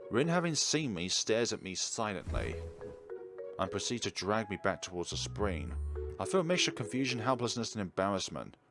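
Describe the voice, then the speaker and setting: A man hosting a game playthrough, reading story text aloud, acting out dialogue and adding his own commentary. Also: lowish voice. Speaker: gaming video host. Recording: loud music behind the voice. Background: game music.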